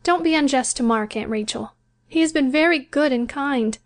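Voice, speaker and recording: A gentle young bride with a high, soft voice, patient and resigned, pleading quietly in a storybook reading, as a woman reader puts her on. She sounds a little unlike the reader's own voice. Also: normal speed, lightly acted. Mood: soft, wistful. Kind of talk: audiobook character voice.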